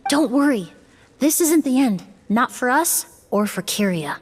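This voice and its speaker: Composed, high-voiced young woman investigator. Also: very animated, slight background noise. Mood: resolute. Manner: formal, measured, articulate. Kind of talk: scripted video game voice acting.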